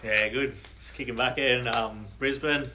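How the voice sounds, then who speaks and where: Shy young Australian man, flat voice. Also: mid-range voice, unhurried, a little hiss or hum. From New South Wales, Australia. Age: young adult. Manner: shy, brief, hesitant. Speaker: guest, rugby league player.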